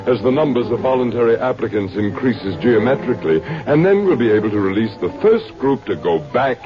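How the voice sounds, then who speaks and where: An actor playing a courteous, superior alien overseer in a radio drama, speaking with formal, precise phrasing. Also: male voice, mid-range voice, animated, fairly quick, close to an everyday voice. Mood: pleased. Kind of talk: mid-century radio drama acting. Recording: dull vintage radio recording with music.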